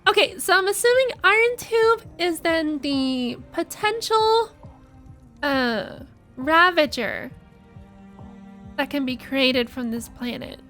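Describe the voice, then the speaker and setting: A woman streamer giving casual, expressive live reaction commentary on a game story, with frequent interjections. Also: high-pitched, slow pace, soft background music.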